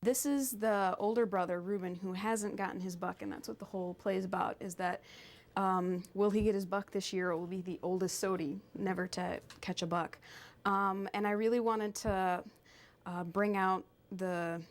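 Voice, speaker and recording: Young female design intern, medium pitch, informal and a little halting, interview delivery. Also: steady tone. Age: young adult. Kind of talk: interview explanation.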